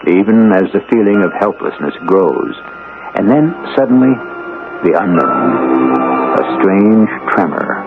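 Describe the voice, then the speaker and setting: Low creaky guy doing portentous mystery narration, old tinny radio. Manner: portentous, measured. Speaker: host and narrator. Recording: thin old radio broadcast.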